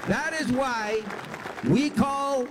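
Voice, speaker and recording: Man, high and lively voice, solemn formal presenter, echoey hall. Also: drawn-out words, a crowd in the background, noisy background. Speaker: presenter at a commemorative event. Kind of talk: formal prepared speech.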